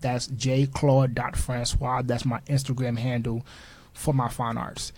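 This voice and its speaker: A man born in Haiti and raised in Newark, a guest entrepreneur on a radio show, who spells out his web details slowly and carefully. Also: medium pitch, level tone, darkish tone. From born in Haiti, raised in Newark, New Jersey. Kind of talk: casual radio interview.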